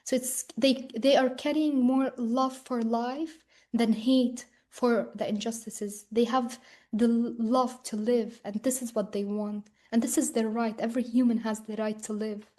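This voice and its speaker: A young woman from Ukraine speaking as a guest, earnest and personal, often filling pauses with "like". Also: fairly high pitch, steady tone, mellow. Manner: earnest, frequent "like" fillers.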